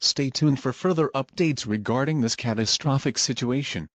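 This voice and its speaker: A man acting as a grave emergency broadcast announcer. His mid-pitched voice is dry and close, delivering scripted warnings with formal, measured, impersonal authority. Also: dry recording, lightly acted. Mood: grave, official.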